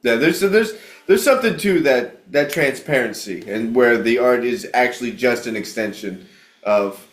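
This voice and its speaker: A man hosting a podcast interview, speaking thoughtfully and giving quick affirmations. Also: medium pitch, animated, roomy sound. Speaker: podcast host. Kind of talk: casual interview.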